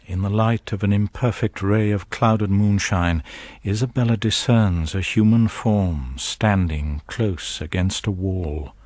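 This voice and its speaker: Grave gothic narrator, measured, atmospheric, slightly low pitch, husky. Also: male voice, darkish tone, no room sound. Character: grave, watchful storyteller narrating a gothic tale. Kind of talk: scripted dramatic narration.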